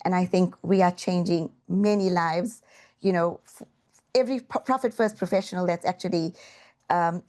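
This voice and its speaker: A woman's voice: on the low side, moderate pace, a little mellow. A woman from South Africa, a guest on a podcast, earnestly telling her story in an interview. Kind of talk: interview answers.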